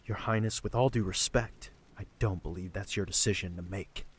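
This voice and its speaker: A man playing a respectful but quietly defiant castle guard in an audio drama, deferential and restrained. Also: low voice, fairly even intonation, normal speed, a little hiss or hum, lightly acted.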